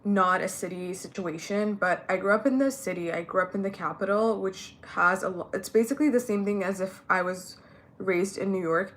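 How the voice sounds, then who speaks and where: A woman's voice: mid-range voice, a bit bright. A young woman from Ethiopia whose first language is not English, chatting candidly to camera while getting ready, with many fillers. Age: young adult. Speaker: vlogger. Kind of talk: casual get-ready-with-me chat.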